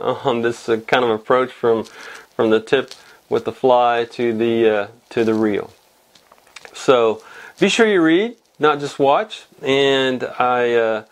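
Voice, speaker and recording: Host, man, 40s, loose rambling update from his tying room, clip-on mic, a bit under the weather. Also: medium pitch. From Texas. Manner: rambling, many uh fillers and restarts. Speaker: fly fishing show host. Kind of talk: casual weekly update to camera. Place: indoor fly tying room.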